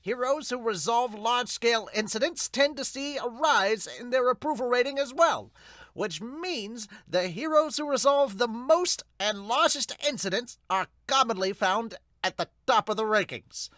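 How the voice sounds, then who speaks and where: A man's voice: very high voice, expressive, normal speed, pushed and bright, no room sound, studio quality, pitched above the performer's own voice, heavily acted. A man voicing a grand, emphatic announcer of hero rankings in an amateur manga dub.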